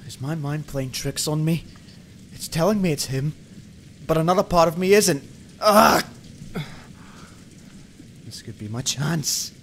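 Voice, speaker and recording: A man's voice: fairly high pitch, expressive, a little slow, long pauses, slight background noise. A man acting a hot-tempered, obsessive, weary police detective in an amateur radio drama, muttering and swearing. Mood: troubled. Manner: brooding, muttering to himself, swearing. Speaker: voice actor in amateur radio play.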